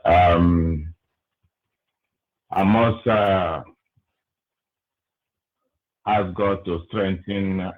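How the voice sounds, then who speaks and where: Nigerian man calling in, low voice, slow and halting, lots of ums, phone-line tinny. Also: steady tone. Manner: slow, hesitant, many fillers. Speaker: caller. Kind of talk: call-in greetings and comment.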